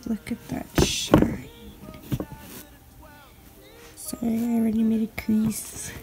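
A woman giving a casual, unscripted product review in a hesitant, halting, informal way, recorded on a handheld device in a bedroom. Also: on the high side, monotone, over music, rough recording. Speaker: sneaker reviewer. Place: bedroom at home. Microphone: handheld phone or camera. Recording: clicks and light background noise.

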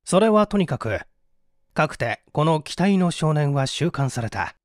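Male audiobook narrator, dramatic old-school mystery reading, lively intonation, dry studio-like sound. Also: on the high side, unhurried, no echo. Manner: theatrical, formal.

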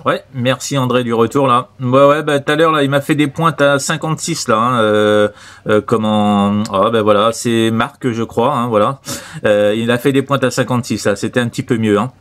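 A man's voice: medium pitch, steady tone, normal speed, a bit bright. A man with an accent from France talking casually as a radio operator, rambling and repeating himself hesitantly. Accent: French from France. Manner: rambling, hesitant, repetitive, many tag words. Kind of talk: casual radio contact chat.

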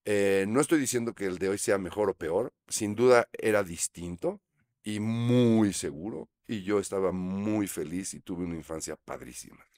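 Mexican male guest, 50s, low-pitched, relaxed and reflective anecdotes, close studio mic. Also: moderate pace, no room sound. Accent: Mexican Spanish. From Mexico City. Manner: reflective, gestures while talking, frequent fillers. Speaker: actor guest. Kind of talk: relaxed storytelling interview. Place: small studio set. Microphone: studio mic on boom arm.

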